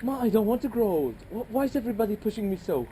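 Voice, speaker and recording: A woman's voice: fairly low pitch, sing-song, fairly quick, dark tone, slight background noise. A woman playing a nervous young groom who stammers and speaks urgently. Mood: agitated.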